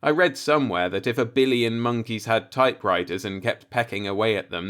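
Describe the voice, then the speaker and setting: A man's voice: mid-range voice, a bit bright, clear, resonant tone, lightly acted. A man voicing a cynical, musing older working-class man, a self-taught professor, in a folksy, rhetorical audiobook monologue.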